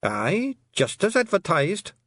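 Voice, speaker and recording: Man voicing tiny Scottish pixie warrior chief, cheerful, raised pitch, bouncy delivery. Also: huge pitch swings, fairly bright tone, slightly rough, no echo, studio quality, heavily acted. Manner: cheerful, hearty, drawn-out. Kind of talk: audiobook character voice.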